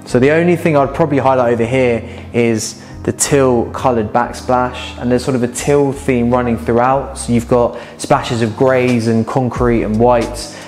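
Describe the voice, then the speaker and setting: A young man talks viewers through a house in a relaxed, enthusiastic way, pointing out features as he walks. His voice sits at an ordinary middle pitch. Faint music plays underneath. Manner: upbeat, enthusiastic, conversational while walking. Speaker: house tour host. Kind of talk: casual walking house tour. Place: large house, indoors and garden.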